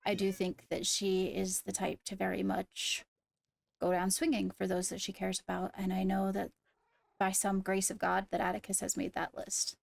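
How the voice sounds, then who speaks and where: A woman acts out a diplomatic female leader of a fantasy game court. The voice has a noticeable creak at an average pitch. Phrasing is formal, careful and measured, as in improvised roleplay. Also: monotone, no echo. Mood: cautious, deliberate.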